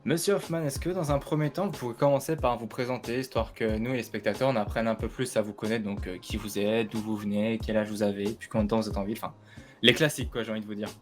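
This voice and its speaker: A man's voice: fairly low pitch, steady tone, quick pace, faint music behind. Casual man hosting a talk show. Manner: casual, rambling questions. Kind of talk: roleplay talk show interview.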